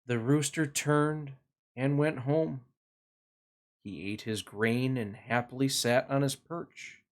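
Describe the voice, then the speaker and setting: A man hosting a children's read-along, narrating a picture book expressively with deliberate, sing-song emphasis for young listeners. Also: mid-range voice, fairly even intonation.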